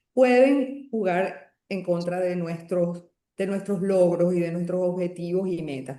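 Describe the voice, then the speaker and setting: A Venezuelan woman speaking as a podcast guest, with a mellow, soft-edged voice. She talks in a reflective way and often restarts or pauses mid-sentence. The sound carries a noticeable echo from the room around her. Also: mid-range voice. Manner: reflective, with frequent restarts and hesitations. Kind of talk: podcast interview.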